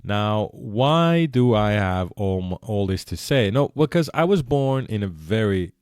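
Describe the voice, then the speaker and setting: A man's voice: fairly low pitch, sing-song, measured pace, a little mellow, clear, resonant tone, no echo, clean studio sound. A man born in Iran and raised speaking Persian, hosting a solo podcast, explaining earnestly with frequent self-corrections. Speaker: podcast host.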